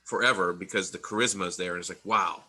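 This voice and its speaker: A middle-aged man with a very bright, forceful voice set a little low, talking casually about his past. He rambles and restarts often and laughs now and then between thoughts. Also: fairly even intonation, fast talker, husky. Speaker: interview guest, Army veteran. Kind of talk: casual conversational interview.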